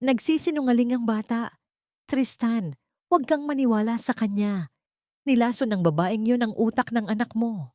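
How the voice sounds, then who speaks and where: A woman's voice: fairly high pitch, normal speed, mellow, no echo, clean studio sound, voice pushed up, close to an everyday voice. A woman voicing a scheming, wealthy aunt in a Tagalog audio drama, defensive and evasive. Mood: desperate. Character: scheming wealthy aunt, desperate when exposed as a poisoner.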